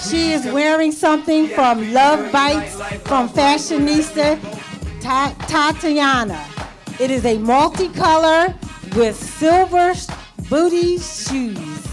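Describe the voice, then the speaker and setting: A woman's voice: high-pitched, slow. A woman at a handheld mic in a restaurant bar, announcing outfits at a fashion show in a presenting, sing-song way. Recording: music playing loudly behind.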